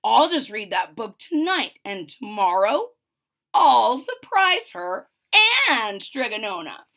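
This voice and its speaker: A woman's voice: on the high side, very animated, slow, fairly bright tone, exaggerated voice. A woman voicing an eager, boastful, impatient young female magic student in a storybook, exclaiming and showing off. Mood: scheming, boastful.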